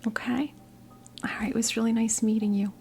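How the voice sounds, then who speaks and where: Whispering American woman in her fifties, creaky. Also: a little high, level tone, faint music behind. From New Jersey, United States. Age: 50s. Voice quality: soft breathy whisper. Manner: gentle, reassuring, hesitant unhurried phrasing. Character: kindly, calming witch tarot reader. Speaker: ASMR performer role-playing a tarot reader. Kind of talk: ASMR role play, whispered one-on-one tarot reading.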